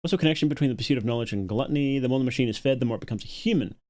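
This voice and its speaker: A man's voice: mid-range voice, racing, darkish tone. A man casually commentating on a livestream, musing aloud and reading out viewer comments as he reacts. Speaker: livestream host reacting to a horror series.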